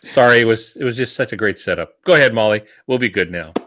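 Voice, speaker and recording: A man's voice: on the low side, fast talker, a bit bright, a little hiss or hum. A man co-hosting a podcast in casual, conversational chat. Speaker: podcast co-host.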